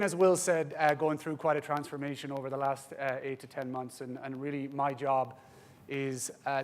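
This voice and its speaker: An Irish man from Ireland moderating a conference panel on stage, joking with the room and talking with frequent "uh" fillers. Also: mid-range voice. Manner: jokey, warm-up banter with pauses and "uh" fillers.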